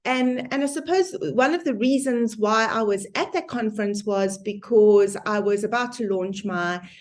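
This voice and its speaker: A woman's voice: mid-range voice, normal speed. A woman hosting an online summit interview, introducing and chatting with her guest in a conversational, appreciative way.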